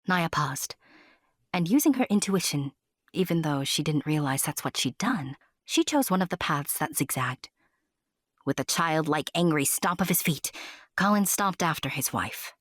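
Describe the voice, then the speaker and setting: A woman's voice: deep voice, lively intonation, moderate pace, no echo, studio quality. A woman narrating a scripted storybook tale with wry, playful asides to the listener.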